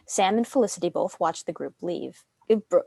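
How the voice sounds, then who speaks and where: A woman's voice: on the low side, lively intonation, moderate pace, vocal fry, dark tone, breathy edge, dry recording. A young adult woman reading scripted stage directions as a narrator.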